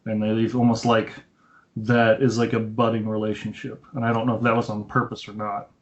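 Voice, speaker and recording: A man's voice: fairly low pitch, level tone, a little fast. A man co-hosting a podcast in casual film discussion, explaining things and retelling scenes in detail over an internet call. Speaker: podcast co-host. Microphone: Skype call. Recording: dull, lo-fi internet call audio.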